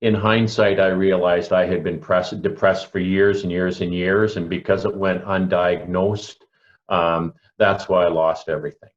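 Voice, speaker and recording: A Canadian man in his early sixties giving personal testimony on a panel, reflective and deliberate, repeating himself for emphasis. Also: low voice, little pitch movement, highs cut off. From rural Alberta, Canada. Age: early 60s. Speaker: panelist, local pastor.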